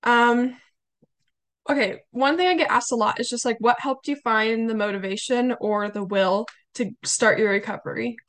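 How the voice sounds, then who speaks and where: A young woman hosting a podcast interview, her voice slightly hoarse, chatting casually with many fillers. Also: a little high, normal speed. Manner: chatty, warm hesitations with fillers. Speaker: podcast host.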